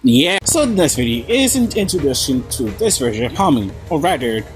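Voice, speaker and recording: A man's voice: fairly high pitch, very animated, a little fast, creaky. A man giving informal video review commentary in a sarcastic, casually sweary manner. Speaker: video essayist. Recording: background music under voice. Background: music.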